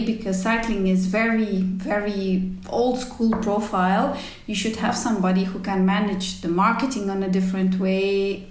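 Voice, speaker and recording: A woman, telling her story as an interview guest in halting, emphatic English. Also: mid-range voice. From former Soviet Union. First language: not English. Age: 40s. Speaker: podcast guest, cycling team executive. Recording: roomy, echoey sound.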